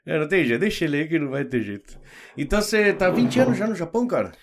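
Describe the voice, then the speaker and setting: Um homem brasileiro de uns quarenta anos apresentando um bate-papo descontraído, falante, rindo com frequência e cheio de "né". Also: male voice, a little high, animated, very fast, noisy background. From Brazil. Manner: chatty, laughs often, many fillers like "né". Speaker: host. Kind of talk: casual interview chat.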